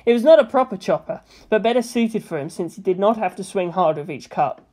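A woman's voice: medium pitch, animated, brisk, dark tone. A woman reading scripted fan fiction narration as an audiobook narrator.

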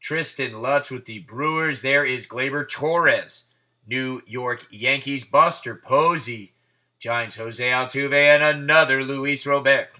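A man hosting a live card break, calling out players and teams in quick succession with hyped asides, his voice getting hoarse. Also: mid-range voice, bright, forceful tone. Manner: rapid-fire name calling, hyped asides. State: losing his voice. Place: indoors at a table.

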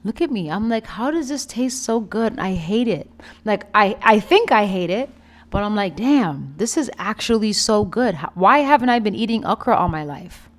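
A young woman, giving a chatty, playful and expressive casual vlog voice-over. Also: medium pitch, moderate pace. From Canada. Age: young adult. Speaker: vlogger, food reviewer. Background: background music.

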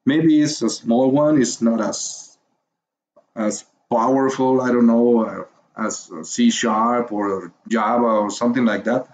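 A man from Mexico with a Spanish accent, whose first language is Spanish, teaching a beginner's course in an encouraging, hesitant way, pausing often to find his words. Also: medium pitch, fairly even intonation, poor audio. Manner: hesitant, many fillers and pauses while finding words. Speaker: course instructor. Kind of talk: screencast tutorial narration.

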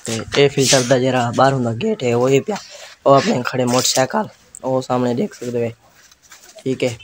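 A young man with a Saraiki accent from Pakistan, chatting casually and repetitively as he films a village vlog. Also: medium pitch. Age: teens to young adult. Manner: informal, repetitive running commentary. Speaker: vlogger, host. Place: outdoors in village lanes, fields and walled yard. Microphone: phone at arm's length. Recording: noisy handheld phone recording.

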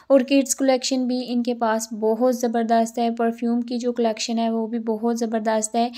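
A woman narrates a casual shopping vlog, her voice sitting a little high. She speaks in a steady, fairly level tone, repeating the same praise words as she lists prices. The sound comes from a phone camera she holds inside a shop. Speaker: shopping vlogger. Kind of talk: casual store walkthrough narration. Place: clothing store indoors.

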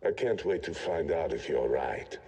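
A man's voice: very deep voice, lively intonation, moderate pace, dark tone. A man voicing a sly, amused raider in a video game, with a wry, intrigued manner. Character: sly, amused raider who admires destruction. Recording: long reverb, faint game ambience.

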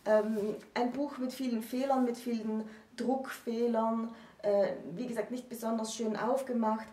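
A woman's voice: a little high, little pitch movement, unhurried, mellow. A woman in her thirties explaining literature as a co-host in a discussion to camera. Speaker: co-host presenting books. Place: room with bookshelves, indoors. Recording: roomy echo, distant microphone.

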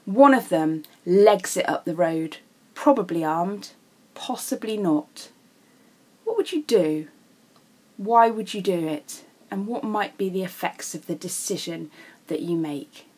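A woman's voice: on the low side, animated, a little slow, darkish tone, slightly rough. A woman with a British accent, an English teacher explaining step by step in a narrated video lesson. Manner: explanatory, signposting each step.

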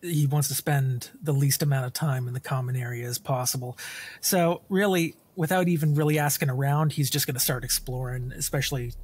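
A man's voice: medium pitch, fairly even intonation, fairly quick, darkish tone, breathy edge. A man playing a tabletop game, casually improvising what his character does. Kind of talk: casual improvised roleplay narration.

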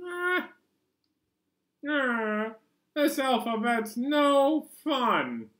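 High whiny moose puppet. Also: male voice, sing-song, very slow, fairly bright tone, heavily acted. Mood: impatient, upset. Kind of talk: children's puppet show.

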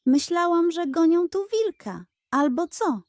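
A woman's voice: very high voice, lively intonation, measured pace, darkish tone, no echo, clean studio sound, voice pushed up. A woman voicing a chatty, exclamatory female forest animal in a children's story. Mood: surprised.

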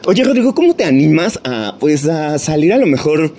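A man's voice: on the high side, sing-song, normal speed, dark tone. A middle-aged man hosting an interview with a chatty, agreeable manner, on a handheld mic in a small theater. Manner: chatty, agreeable, frequent affirmations. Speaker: interview host. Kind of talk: casual interview chat. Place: small theater set, indoors.

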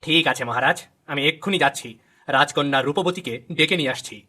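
A man's voice: a little high, a little fast, sharp tone, slight background noise. A man voicing a loyal, deferential royal minister in a storybook cartoon, speaking formally and dutifully. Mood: obedient.

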